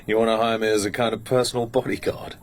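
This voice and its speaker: Radio drama lead, male actor: British spy, lowish voice, guarded, understated, dry. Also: little pitch movement, fast talker, natural voice. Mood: dry, amused. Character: cool British secret agent posing as hired security man.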